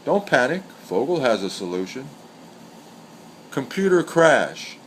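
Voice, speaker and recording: A man giving a scripted literary reading of short poems and commentary, reciting in a deliberate, measured way. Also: mid-range voice. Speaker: poet reading aloud. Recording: steady background hiss or hum.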